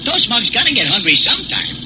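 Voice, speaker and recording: An American actor playing a jumpy, hungry comic sidekick in a 1940s radio adventure, folksy and slangy. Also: male voice, very high voice, sing-song, fast. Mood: eager, grumbling. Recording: very noisy old 1940s radio recording.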